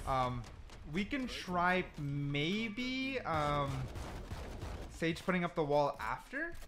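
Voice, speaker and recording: A man's voice: a little high, background noise. A man giving casual, unscripted gameplay commentary, thinking aloud and correcting himself as he goes in an informal way. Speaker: gaming video creator. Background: background music.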